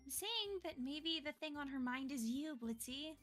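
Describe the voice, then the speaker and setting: A woman acts out a caring teenage girl club president from a visual novel. Her voice is high and lilting, gentle and a little coy, with faint music underneath. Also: expressive, normal speed. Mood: coy, careful. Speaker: guest player voicing a game character. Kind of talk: amateur visual novel voice acting.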